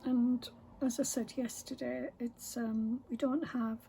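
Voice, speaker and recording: A woman with a slightly high voice, croaky from a sore throat, talking slowly and softly as she stitches. She rambles with many pauses and drifts into half-whispers. Faint room ambience sits behind her voice. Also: flat delivery, slight background noise, poor audio. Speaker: craft vlogger. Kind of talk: casual chat while stitching. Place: home room with window open.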